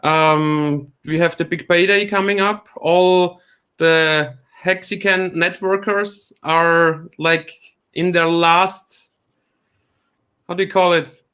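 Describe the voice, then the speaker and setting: High-voiced Austrian man, laughing guest. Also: fairly even intonation, pushed and bright, smooth. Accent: Austrian German. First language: German. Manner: laughs often, halting phrasing. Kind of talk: casual chat. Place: home at night. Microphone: video call.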